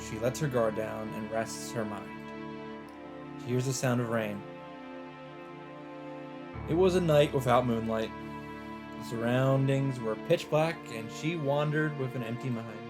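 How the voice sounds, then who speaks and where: A man reading a visual novel aloud as a let's play host, in dramatic, expressive narration with deliberate phrasing and pauses. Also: mid-range voice. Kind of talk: dramatic narration read aloud from on-screen text. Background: background music.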